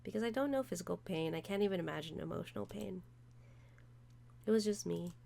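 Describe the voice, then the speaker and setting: A woman's voice: lowish voice, fairly quick, vocal fry, a little hiss or hum. A congested woman reading aloud, in her own plain voice, the lines of a detached young man who cannot feel pain. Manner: reads game text aloud flatly.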